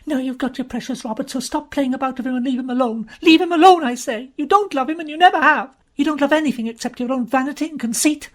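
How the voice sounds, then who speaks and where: A woman reading an audiobook, voicing a jealous young woman who rages in loud, tearful outbursts. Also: high-pitched, fast, few pauses, voice pushed up, close to an everyday voice. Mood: furious.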